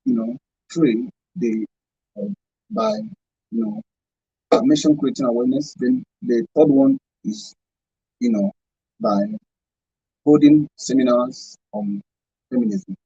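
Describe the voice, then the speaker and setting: A Nigerian man with a gravelly, mellow voice that moves widely in pitch. He answers haltingly as a radio guest, groping for his points, and the sound is slightly dull. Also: big pitch swings, no room sound. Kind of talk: unscripted answer in radio discussion.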